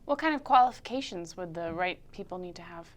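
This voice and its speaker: A woman's voice: lowish voice, lively intonation, fast. A woman hosting a casual local TV interview, asking short questions and murmuring along attentively. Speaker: interviewer, host.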